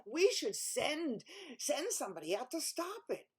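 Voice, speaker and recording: A woman's voice: high-pitched, sing-song, measured pace, darkish tone, voice pushed up. Woman voicing a fretting royal advisor. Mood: worried. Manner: pleading, urgent. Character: worried royal advisor, polite and anxious.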